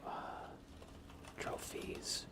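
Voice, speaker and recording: A man softly thinking aloud in an unhurried, whispered ASMR style as he narrates a video game livestream. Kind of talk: ASMR gaming commentary, whispered and soft-spoken.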